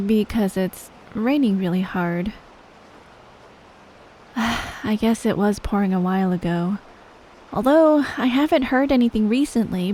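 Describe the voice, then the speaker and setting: Woman playing a shy awkward girl best friend, asmr roleplay, kinda high voice, really slow n halting. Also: a little hiss or hum, poor audio. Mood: bashful, nervous. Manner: hesitant, apologetic, soft-spoken, halting. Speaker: audio roleplay performer. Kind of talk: scripted ASMR roleplay, speaking to the listener as a friend.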